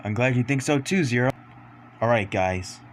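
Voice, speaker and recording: A man performing fan-made superhero script lines as an amateur voice actor, with halting, deliberate phrasing. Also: medium pitch, slight background noise.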